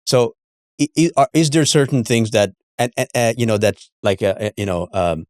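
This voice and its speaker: A middle-aged man hosting a casual interview, haltingly searching for words and repeating himself. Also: mid-range voice, no echo, clean studio sound. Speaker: interview host.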